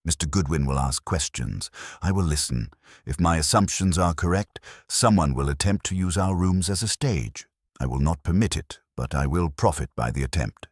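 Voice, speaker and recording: Man acting exacting detective genius, audiobook mystery, very low husky voice, formal, measured, commanding. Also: animated, no echo, clean studio sound, exaggerated voice. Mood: commanding, deliberate.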